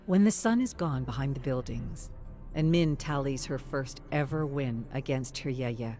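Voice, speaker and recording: A narrator reading a scripted story in the present tense, in a measured, dramatic storytelling manner. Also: medium pitch, mellow, soft background music.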